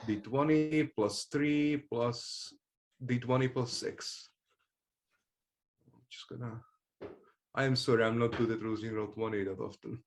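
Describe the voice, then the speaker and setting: A man speaking casually and haltingly as a player in a tabletop game, working through his moves. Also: medium pitch, fairly even intonation.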